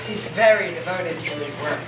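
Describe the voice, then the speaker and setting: A woman's voice: deep voice, moderate pace, over music, close to an everyday voice. A woman on stage playing a coy, polite young niece welcoming guests to a party. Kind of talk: amateur stage acting. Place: school theatre stage. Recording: echoey, distant, noisy stage.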